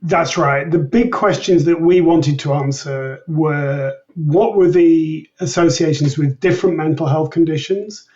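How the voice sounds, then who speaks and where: Male researcher guest, kinda high voice, formal careful explaining, sounds a bit far off and muffled. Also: a little slow, few pauses, a little mellow. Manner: formal, precise, structured. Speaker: research scientist, interview guest. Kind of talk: measured expert explanation in an interview.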